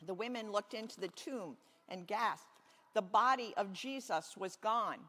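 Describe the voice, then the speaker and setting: A woman pastor telling a Bible story to children in a gentle, expressive storytelling way with deliberate emphasis. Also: mid-range voice. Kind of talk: children's sermon, reading a Bible story aloud. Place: large reverberant church space. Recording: echoey hall with long reverb.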